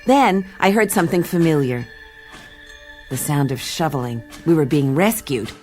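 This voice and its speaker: Low-voiced, wry adventurous heroine. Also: female voice, animated, moderate pace, a little mellow, soft background music. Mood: brooding, unhurried. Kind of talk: radio play narration and dialogue.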